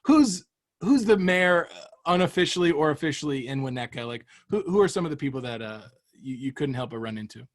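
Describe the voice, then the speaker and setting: A man's voice: fairly high pitch, animated, a little fast. An American man hosting a podcast in casual, comedic interview chat, with a joking, playful manner. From Florida, United States. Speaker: podcast host.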